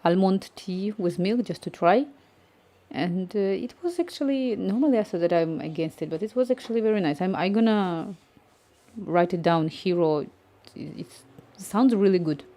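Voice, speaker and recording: Softly mellow woman coding live. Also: mid-range voice, animated, normal speed. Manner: thinking aloud, reading chat, many restarts. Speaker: coding streamer. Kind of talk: live-stream coding chat.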